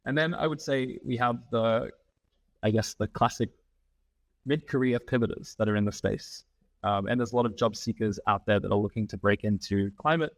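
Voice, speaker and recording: A lower-pitched man with an Australian accent talks through his career in an unhurried, explanatory way, often pausing on "um". The sound is close and dry, with no room echo. He comes across as a guest in his thirties on an interview podcast. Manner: measured, many "um" fillers, explanatory. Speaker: podcast guest, founding partner. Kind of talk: conversational interview.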